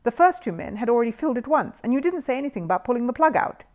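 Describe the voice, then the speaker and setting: Woman voicing a lively, earnest little male bear. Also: on the high side, rapid-fire, voice pushed up. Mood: politely insistent. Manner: polite, earnest, precise. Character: polite, earnest, indignant small male bear. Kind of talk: children's storybook reading.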